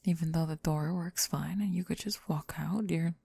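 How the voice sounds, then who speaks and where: A woman playing a remorseful, vulnerable young woman in an intimate emotional ASMR roleplay, speaking haltingly and tenderly in a breathy, often whispered voice, close to the mic. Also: low voice, fairly even intonation, a little mellow, no echo, heavily acted. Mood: vulnerable, hushed. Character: remorseful, vulnerable young woman confessing to someone she rejected. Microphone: close, dry microphone.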